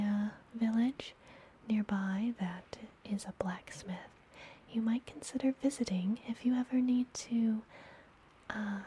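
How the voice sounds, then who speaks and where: A woman playing a friendly, gentle witch healer in an ASMR fantasy roleplay, whispering softly and soothingly, as if up close. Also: medium pitch, poor audio. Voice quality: soft, breathy whisper. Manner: slow, soothing, intimate personal attention. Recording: close whisper with background noise.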